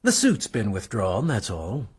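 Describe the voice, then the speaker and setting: An actor playing an evasive, offhand American husband in a radio play ghost story, with a husky voice and brightly reassuring manner. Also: male voice, lowish voice, huge pitch swings, measured pace, exaggerated voice. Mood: breezy, defensive. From New York.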